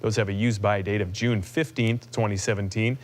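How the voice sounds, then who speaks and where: A man's voice: lowish voice, steady tone, brisk. An American man reading scripted news items as an anchor.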